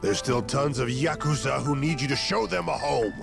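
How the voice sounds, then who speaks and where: A man playing a blunt, hot-tempered veteran Yakuza in a video game, gravelly and confrontational. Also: mid-range voice, moderate pace, over music. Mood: scolding. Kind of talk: video game cutscene acting.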